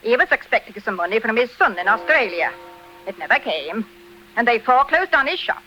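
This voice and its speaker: An actress playing a heartless, gossipy village inn servant in a 1940s radio drama, relishing grim news. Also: female voice, fairly high pitch, very animated, brisk, sharp tone, dull audio, soft background music. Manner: gloating, matter-of-fact about misfortune.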